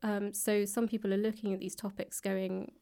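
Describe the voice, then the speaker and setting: A woman's voice: mid-range voice, little pitch movement, moderate pace, gravelly, dark tone. A woman giving thoughtful, explanatory answers as an interview guest in a conversational style. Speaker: interview guest, author and actor.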